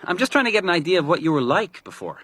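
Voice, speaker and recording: A needling younger male hiker in a radio drama, chatty and insistent. His pitch is lively and swings widely, with birdsong and faint noise behind. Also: fast talker, full character voice. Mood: probing.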